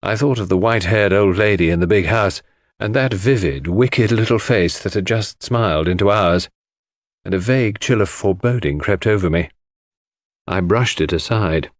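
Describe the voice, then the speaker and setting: A man's voice: fairly low pitch, moderate pace, no echo, studio quality. A man reading scripted first-person literary narration as an audiobook narrator.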